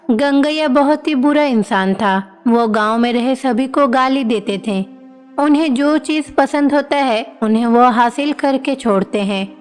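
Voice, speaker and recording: A woman's voice: sits high, big-room sound. A woman reading scripted narration for a moral bedtime story.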